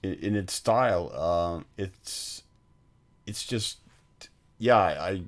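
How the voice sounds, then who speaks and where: Male podcast co-host, low pitch, casual film talk, halting and fragmentary delivery. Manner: halting, piecing thoughts together in fragments. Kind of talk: casual film discussion, recounting scenes.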